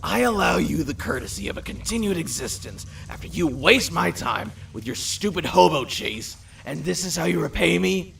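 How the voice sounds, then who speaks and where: A man playing a haughty, sarcastic, commanding supernatural gang leader in an audio drama, condescending and formally spoken, with a creaky voice. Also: fairly high pitch, lively intonation, moderate pace, fairly bright tone, far from the mic, heavily acted. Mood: disdainful. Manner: condescending, mock-polite, formal wording. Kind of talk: audio drama character acting.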